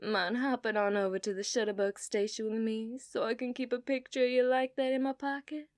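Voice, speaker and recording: A woman voicing an obsessive, dominant outlaw cowgirl in a put-on Southern accent, for an ASMR roleplay, teasing and dropping into soft whispers. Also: a little high, steady tone, a little fast, no room sound, clean studio sound, natural voice. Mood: flirtatious. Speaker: ASMR performer.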